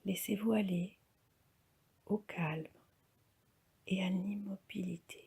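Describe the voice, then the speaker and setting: Female meditation guide, very breathy and near-whispered, unhurried, calm instruction for deep relaxation. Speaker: yoga nidra instructor.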